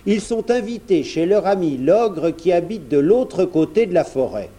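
Guy doing a brave polite little prince, smooth trickster, mellow, kids story. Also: high voice, normal speed, voice pushed up. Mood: courteous, sly. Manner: courteous, calm. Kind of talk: children's storybook character.

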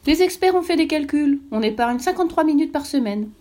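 Brief: woman playing a peppy storybook salesman, raised pitch, pushy pitch-man tone. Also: animated, fairly quick, a little mellow. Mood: brisk. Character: brisk salesman of pills, man.

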